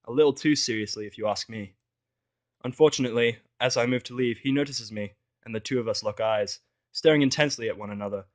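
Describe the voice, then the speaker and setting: A man's voice: mid-range voice, fast. A man reading a scripted first-person fan fiction story as its narrator.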